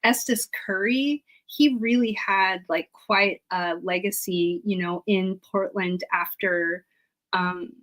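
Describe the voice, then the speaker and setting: A woman historian answers questions informally as an interview guest. She thinks aloud as she goes, pausing and qualifying what she says in a hesitant way. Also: medium pitch, fairly even intonation, a bit bright.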